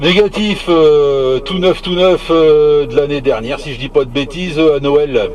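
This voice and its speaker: Guy on cb radio, voice a bit high, casual, keeps hunting for words and repeating stuff. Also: a little slow, darkish tone. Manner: hesitant, searching for words, repeats himself. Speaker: CB radio hobbyist.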